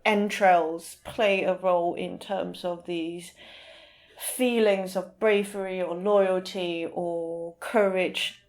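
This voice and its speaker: A woman speaking as a podcast guest, giving thoughtful conversational answers in a halting way as she searches for words. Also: fairly low pitch, fairly even intonation, a little mellow.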